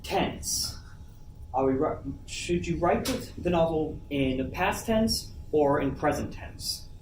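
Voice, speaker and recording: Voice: medium pitch, lively intonation, slow pace. A person chiming in casually on a panel with questions and remarks. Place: indoor convention panel room. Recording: roomy, distant recording with faint noise.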